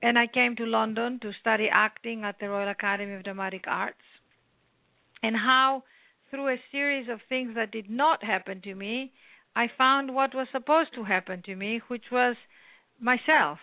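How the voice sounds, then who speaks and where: Greek woman, gravelly voice over phone. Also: on the high side, dry recording. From Greece. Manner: rambling, earnest, with many "uh" pauses. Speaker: author guest. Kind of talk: phone interview. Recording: tinny phone line.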